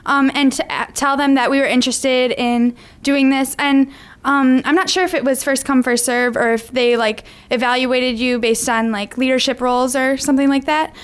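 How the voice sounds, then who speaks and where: A teenage girl with a high, light voice answers questions from a school board. She starts hesitantly and then explains in an earnest, plain way. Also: steady tone, moderate pace, clear, resonant tone. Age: teens. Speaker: student PE leader.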